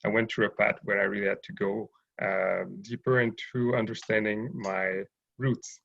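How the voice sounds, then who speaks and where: Man, gravelly fry, measured and hesitant, muffled call audio. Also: lowish voice, steady tone, fairly bright tone. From Haiti, raised in Montreal. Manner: thoughtful, hesitant with many fillers. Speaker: guest, urban farm owner. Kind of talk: interview over video call.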